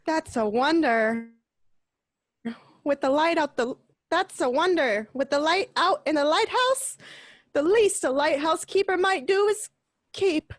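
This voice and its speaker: A woman's voice: sits high, animated, a little slow, pauses often, no room sound. A woman voicing a chatty, discontented lighthouse keeper's wife in an amateur radio play. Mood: complaining. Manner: fussy, rambling complaints.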